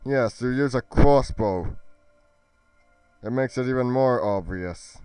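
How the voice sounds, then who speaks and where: A man's voice: mid-range voice, takes it slowly, darkish tone, clean tone, dry recording, soft background music, close to an everyday voice. A man playing a fierce, defiant fantasy warrior in a grandiose, declamatory manner.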